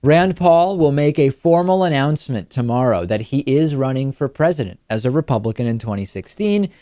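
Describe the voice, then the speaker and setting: A man with a medium-pitched voice delivers political commentary as a talk show host. He explains in a measured way, qualifying as he goes. The sound is dry and close on a studio microphone. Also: lively intonation, mellow, no echo. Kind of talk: news commentary and analysis.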